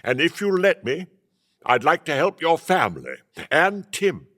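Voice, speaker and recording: A man's voice: a little high, very animated, a little slow, fairly bright tone, full character voice. An older man acting in a radio play as a blustering old miser who has turned generous. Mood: warm, earnest. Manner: blustering, theatrical delivery. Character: reformed old miser boss, gruff bluster turning generous.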